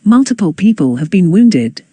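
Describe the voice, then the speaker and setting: An announcer reading scripted emergency news bulletins in a formal, measured way. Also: mid-range voice, sing-song, warm tone, slightly rough, dry recording.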